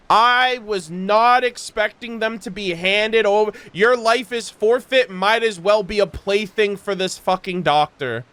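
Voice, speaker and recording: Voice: medium pitch, bright, forceful tone, clean tone, no room sound. A game streamer reacting casually to a story as it plays, exclaiming in slang and swearing freely.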